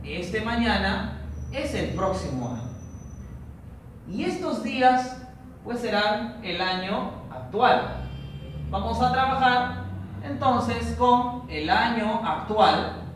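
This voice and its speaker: A man teaching a recorded online training lesson for teachers, explaining a logic problem slowly and step by step. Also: high voice, animated. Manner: slow, methodical, explains step by step. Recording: echoey room, distant mic, noisy.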